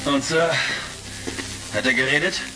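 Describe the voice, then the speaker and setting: An actor playing a confident, heroic space commander in a retro radio play, giving assured orders. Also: male voice, mid-range voice, unhurried, close to an everyday voice. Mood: calm, sly. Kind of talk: retro science fiction radio play acting. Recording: music behind, vintage crackle.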